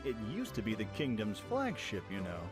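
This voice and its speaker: A man's voice: fairly low pitch, wildly swooping pitch, normal speed, vocal fry, exaggerated voice. A man playing a brash young pirate lord in a fan radio play, casual and cocky in manner. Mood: cheerful teasing. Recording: music behind the voice.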